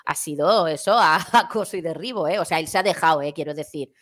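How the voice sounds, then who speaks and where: A woman's voice: deep voice, fast talker, fairly bright tone. A woman in her forties chatting casually and emphatically about a show, repeating phrases and adding tag questions, on a headset from home. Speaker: online show panelist. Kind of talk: casual reality-show chat. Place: home room, on a video call.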